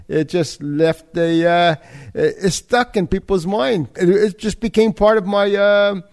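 A man's voice: sits high, steady tone, unhurried, darkish tone. A man in his sixties with an American accent, a retired weatherman answering interview questions in a hesitant, self-deprecating way. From United States. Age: 60s.

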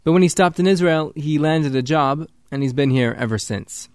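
A man's voice: fairly high pitch, normal speed, dry recording. Male reporter, dry narration. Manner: even, clear reporting. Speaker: radio reporter. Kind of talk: scripted news narration.

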